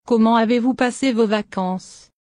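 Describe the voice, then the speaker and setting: A woman reading short French questions for a language lesson in a clear, scripted way. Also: a little high, normal speed, darkish tone, no echo, studio quality. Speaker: French dialogue reader.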